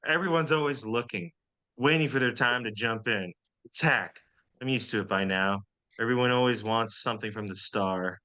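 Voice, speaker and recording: A man's voice: medium pitch, sharp tone, close to an everyday voice. A man playing a vain, self-pitying drag diva with theatrical delivery in a comedy table read. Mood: wounded, dramatic. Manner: theatrical, drawn-out.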